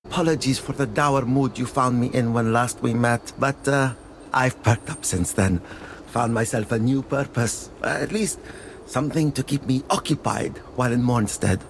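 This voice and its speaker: A man's voice: mid-range voice, normal speed, slight background noise, close to an everyday voice. A man playing a polite, wry scavenger turned traveling merchant in a video game, courteous and wordy. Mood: polite, upbeat. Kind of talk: dramatic video game acting.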